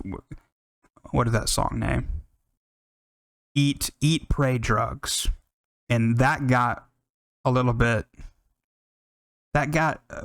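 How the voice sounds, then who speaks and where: A man's voice: mid-range voice, a bit bright, dry recording. An American man hosting a music podcast, talking casually and enthusiastically in a rambling, unscripted way with some swearing.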